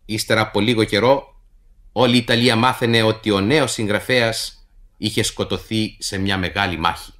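A man reading a scripted introduction to a radio play in a formal, measured literary manner. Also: fairly low pitch, steady tone, a bit bright. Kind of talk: scripted narration for radio theatre.

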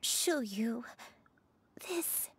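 A woman voicing a frail, sorrowful elderly grandmother in a video game, with slow, hushed, breathy delivery. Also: on the high side, lively intonation, full character voice. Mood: haunted, grieving. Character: frail, sorrowful old grandmother losing her memory. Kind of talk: dramatic video game voice acting.

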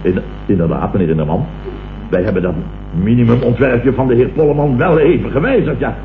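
An actor playing a pompous, blustering company boss in a vintage radio comedy, vain, excitable and often repeating himself. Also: male voice, mid-range voice, fairly quick, lightly acted. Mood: flustered, incredulous. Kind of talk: 1960s Dutch radio comedy acting. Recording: muffled, hissy, echoey vintage radio recording.

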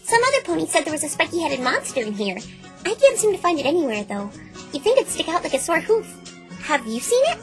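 A woman voicing a bubbly, childlike, scatterbrained cartoon pony with excitable, cutesy talk. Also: very high-pitched, quick pace, faint music behind, heavily acted. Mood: chatty, curious.

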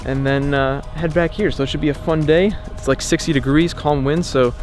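A man's voice: fairly high pitch, steady tone, brisk, soft background music. A young man in his twenties from Ohio, chatting casually in a vlog at an airfield and in a small plane's cockpit, with many "uh" fillers. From Ohio, USA. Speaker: aviation vlogger. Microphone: headset mic in the cockpit.

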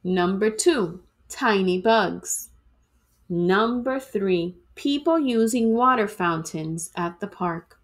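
A woman reading a children's storybook aloud in an expressive, dramatic way, like a teacher to students. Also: medium pitch, takes it slowly.

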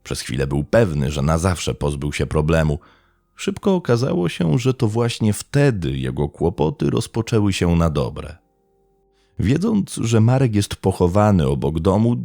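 A man narrates true crime from a script. His voice is gravelly and moves through lively rises and falls as he tells the story in a measured, dramatic way. The sound is close and very dry. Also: very deep voice, no echo.

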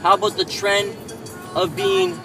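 A man's voice: high voice, very animated, measured pace, gravelly, over music, crowd noise. A man in his thirties ranting indignantly while driving, filmed on a dashboard camera inside his car. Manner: indignant, lecturing rant. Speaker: vlogger. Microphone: camera mounted on the dashboard.

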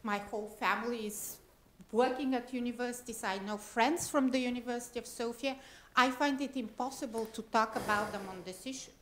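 A woman's voice: fairly high pitch, steady tone, brisk, a bit bright, rough recording. Woman making an earnest comment. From Bulgaria. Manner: earnest, emphatic, argues point by point. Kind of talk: spoken comment at a panel discussion. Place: library event room.

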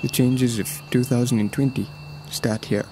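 A man narrating a vlog in a quiet, scripted voice-over. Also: medium pitch, steady tone, moderate pace, a little hiss or hum.

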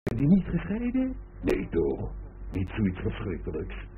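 A man's voice: fairly low pitch, huge pitch swings, unhurried. A man playing a sardonic, weary middle-aged father in a radio drama, with dry, teasing irony. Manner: dry, ironic, hesitant asides. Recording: muffled narrowband old radio recording, faint noise.

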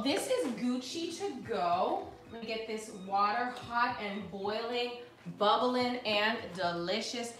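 A woman's voice: on the high side, echoey room. Bubbly young woman chatting while cooking. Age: young adult. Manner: bubbly, chatty, addresses viewers as y'all. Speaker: cooking show host. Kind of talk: live cooking stream, casual chat. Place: home kitchen, indoors. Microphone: fixed camera at counter.

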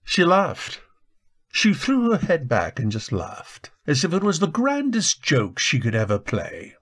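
An actor playing a guilt-ridden, anguished husband in a radio drama, speaking haltingly as emotion breaks through. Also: male voice, on the high side, wildly swooping pitch, fairly bright tone, exaggerated voice. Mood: tormented confession. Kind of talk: old-time radio drama acting.

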